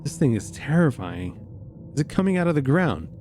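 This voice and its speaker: A man's voice: mid-range voice, very animated, moderate pace, a little mellow, a little hiss or hum. A man hosting a reaction video, reading text aloud and adding informal, incredulous asides. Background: music.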